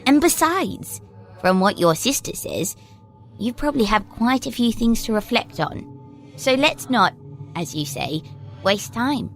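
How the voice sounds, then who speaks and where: A woman plays an eerie little girl in an audio drama, polite and formal yet coolly in command. Her intonation moves a lot, and she sounds older and shrewder than a child. Music plays clearly underneath. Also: a little high, very animated, measured pace. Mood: politely insistent.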